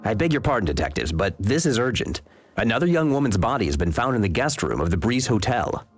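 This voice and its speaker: A man's voice: fairly low pitch, big pitch swings, quick pace, faint music behind. A man voicing a messenger in a mystery game, delivering grim news in an urgent, formal manner.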